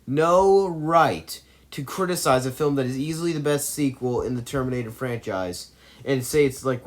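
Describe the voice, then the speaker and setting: A man delivers an opinionated movie commentary in an ordinary mid-range voice. He argues emphatically and rambles, often backing up to restate his points. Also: mid-range voice.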